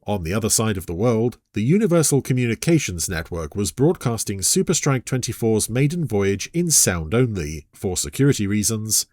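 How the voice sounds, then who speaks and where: A man's voice: lowish voice, darkish tone, no room sound, clean studio sound. A man narrating a scripted adventure story in a dramatic storytelling style.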